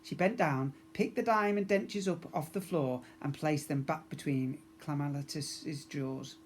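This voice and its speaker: A man narrates a children's storybook. His delivery is expressive and clearly aimed at young listeners, like a teacher reading to a class. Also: a little high, measured pace, slight background noise.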